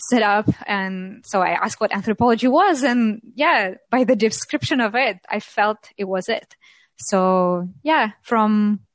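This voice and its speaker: A woman, telling her story as an interview guest, thoughtful and pausing often. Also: mid-range voice, dry recording. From Russia. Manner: thoughtful, many pauses and ums, light laughs. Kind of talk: reflective interview talk.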